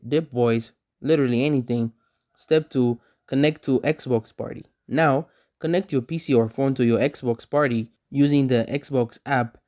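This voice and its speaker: A man's voice: medium pitch, dark tone. A man hosting a how-to tutorial, reading scripted step-by-step narration. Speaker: tutorial host.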